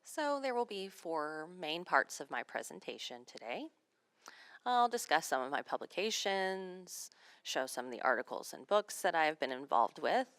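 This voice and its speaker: A woman working as a sign language interpreter, voicing a signed seminar talk in measured, phrase-by-phrase delivery. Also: deep voice, sing-song, a bit bright, no room sound.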